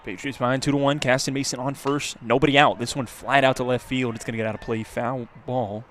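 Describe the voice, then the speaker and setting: A man's voice: medium pitch, steady tone, brisk, a bit bright. A man calling a baseball game live as a radio play-by-play announcer. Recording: outdoor ballpark crowd and ambient noise. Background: ballpark crowd.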